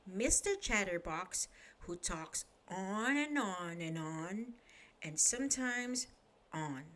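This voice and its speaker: Slow, expressive storybook-reading woman. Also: medium pitch. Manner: lively expressive reading with questions to the listener. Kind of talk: children's bedtime story read aloud.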